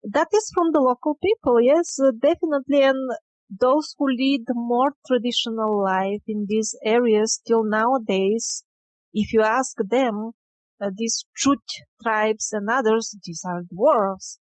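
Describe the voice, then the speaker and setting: A woman's voice: fairly high pitch, measured pace, dry recording, rough recording. A woman answering questions as an interview guest, conversational and sometimes searching for words.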